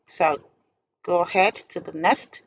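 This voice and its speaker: A woman with a low, creaky voice walks through a software tutorial step by step. Her delivery is informal, halting and deliberate, over faint background noise.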